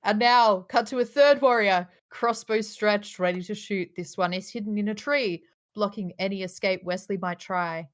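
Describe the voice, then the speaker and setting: A woman's voice: mid-range voice, normal speed, hardly any pauses. A woman narrator reading stage directions aloud at a live, informal table read.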